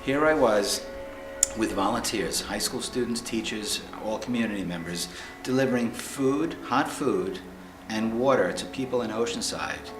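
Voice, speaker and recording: A man's voice: on the low side, animated, moderate pace. Earnest man in an echoey room. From Long Island, New York. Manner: earnest, emphatic storytelling. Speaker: interviewee. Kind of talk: personal testimony. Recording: echoey room with music underneath.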